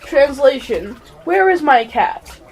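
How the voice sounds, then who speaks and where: A woman's voice: high-pitched, expressive, takes it slowly, darkish tone. A female narrator reading scripted, present-tense story narration in an amateur radio play. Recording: faint background noise.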